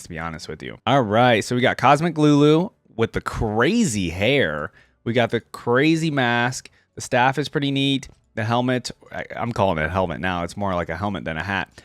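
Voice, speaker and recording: A man's voice: lowish voice, big pitch swings, moderate pace, no room sound, studio quality. A man giving casual, unscripted reaction commentary, thinking aloud in an informal way as he goes. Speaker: reaction video host.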